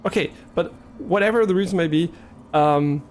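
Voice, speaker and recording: A man's voice: on the high side, moderate pace, a little hiss or hum. A young man with a German accent, talking casually on a live stream, hesitant and rambling with many fillers and restarts.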